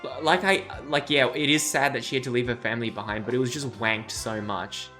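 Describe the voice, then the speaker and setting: A man's voice: medium pitch, fairly quick, sharp tone. A man streaming live game commentary, blunt and sarcastic, with game music behind.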